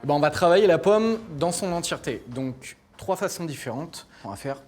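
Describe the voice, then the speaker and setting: A man's voice: on the high side, lively intonation, normal speed, a little mellow, faint music behind. A man from Normandy working as a chef, explaining recipes step by step in casual, slightly hesitant chat. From Normandy, France. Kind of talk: cooking demonstration with casual chat. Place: kitchen.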